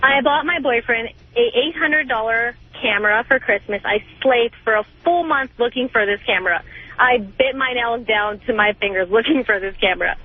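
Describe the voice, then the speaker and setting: A woman's voice: on the high side, normal speed, breathy edge, dry recording. A woman calling a radio show, telling her story casually with emphatic, repeated phrasing. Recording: tinny phone line.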